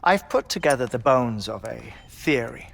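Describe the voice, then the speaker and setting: Erudite scientist, lecturing over music. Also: male voice, medium pitch, animated, moderate pace, vocal fry, fairly bright tone. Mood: measured, explanatory. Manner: lecturing, precise, formal diction. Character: erudite, theorising scientist man, measured and deliberate. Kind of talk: dramatic video game cutscene dialogue. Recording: music behind the voice.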